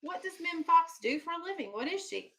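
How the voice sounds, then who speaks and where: High, bright-voiced woman teaching young children. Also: animated, brisk. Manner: instructive, simple explanations, checks understanding with questions, firm with children. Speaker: elementary school teacher. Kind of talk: classroom read-aloud lesson with questions to young children.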